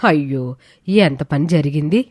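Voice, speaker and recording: A woman's voice: low voice, expressive, unhurried, darkish tone, dry recording, clean studio sound. A woman voicing a caring middle-aged neighbour in a story, motherly, practical and reassuring. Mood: motherly concern.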